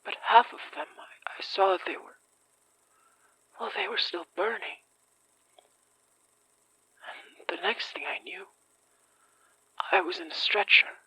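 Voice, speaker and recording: An actress playing a bewildered, frightened survivor in an audio horror drama, halting and fragile, with a husky, breathy voice. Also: female voice, medium pitch, sharp tone, full character voice, rough recording. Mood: dazed, distressed. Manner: halting, fragile, near-whispering. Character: shaken, bewildered female survivor of a supernatural attack.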